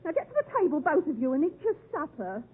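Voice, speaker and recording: An actress playing a shrewish, exasperated blacksmith's wife with a sharp tongue, in 1950s radio drama style. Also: female voice, high voice, very animated, quick pace. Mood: aggrieved nagging. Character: shrewish scolding blacksmith's wife, harsh older sister. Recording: tinny 1950s radio broadcast.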